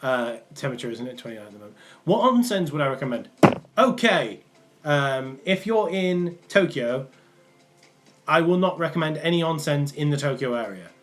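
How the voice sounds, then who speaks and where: A man's voice: a little high, lively intonation, normal speed, fairly bright tone. Rambling young Mancunian man streaming. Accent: Mancunian, partly worn off. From England, Manchester area. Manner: rambling, many ums and restarts. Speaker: livestream host answering chat. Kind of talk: casual live stream chat. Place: small room indoors.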